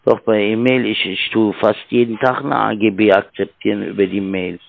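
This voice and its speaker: A man with a rough, gravelly voice pushes a sales pitch over the phone. He stumbles and restarts, padding his sentences with fillers. The line is tinny and completely dry. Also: level tone, dry recording. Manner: halting, fumbling with fillers. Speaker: scam caller. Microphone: telephone.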